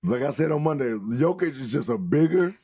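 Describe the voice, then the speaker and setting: Hoarse man talking basketball, dry close mic. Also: medium pitch, fairly quick, no echo. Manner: animated, emphatic, swears freely. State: losing his voice. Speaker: podcast co-host. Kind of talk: casual sports talk.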